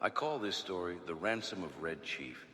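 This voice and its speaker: Man playing a low-voiced ghostly storyteller in reverb. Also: expressive, moderate pace, pushed and bright. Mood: folksy, inviting. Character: male ghostly storyteller guest in a haunted estate. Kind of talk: theatrical spooky storytelling. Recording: reverberant hall with music behind.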